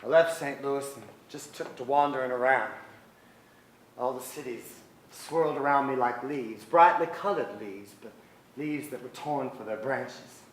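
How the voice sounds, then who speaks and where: A middle-aged man playing a wistful, restless son who narrates his memories onstage, reflective and halting. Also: mid-range voice, lightly acted. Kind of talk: dramatic first-person stage narration. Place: stage of a large theater. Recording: echoey theater with faint noise.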